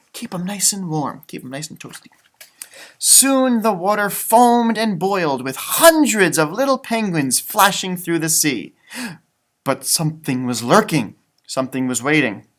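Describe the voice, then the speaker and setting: Man reading to children with very lively pitch. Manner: expressive, dramatic delivery with sound effects and exclamations; chatty, simple explanations addressed to children. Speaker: elementary school music teacher reading storybooks aloud. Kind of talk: storybook read-aloud for children with casual asides.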